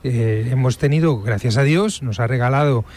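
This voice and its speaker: A man giving reflective radio commentary, measured and emphatic, pausing to find words. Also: medium pitch, a little mellow.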